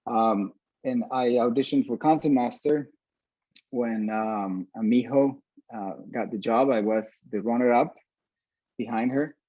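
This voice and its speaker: Mellow, halting man in a roomy recording. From Venezuela. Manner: halting, pausing often mid-sentence. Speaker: orchestra violinist, interview guest. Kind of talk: casual interview talk. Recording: dull, roomy low-fidelity sound.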